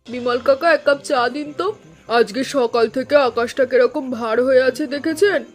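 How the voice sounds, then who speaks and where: A woman's voice: sits high, moderate pace, faint music behind. Caring village woman voiced by an actress. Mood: concerned. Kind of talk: Bengali animated story dialogue.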